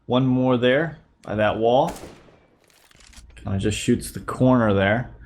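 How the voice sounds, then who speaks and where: A man's voice: mid-range voice, slow pace. Slightly nasal man with a cold. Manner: relaxed play-by-play narration. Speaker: YouTube host reviewing gameplay. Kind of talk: casual gameplay commentary.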